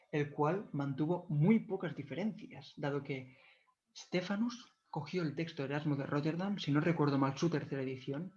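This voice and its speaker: Devout Catalan-native man lecturing slowly. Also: a little high. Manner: measured, devout, corrects himself often. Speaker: video lecturer. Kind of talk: explanatory talk on church history. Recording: dull lo-fi recording.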